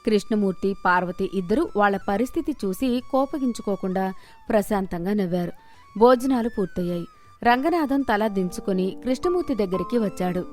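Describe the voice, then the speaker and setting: A woman's voice: medium pitch, expressive, fairly quick, darkish tone, faint music behind. A woman storyteller reading a scripted moral tale as narration.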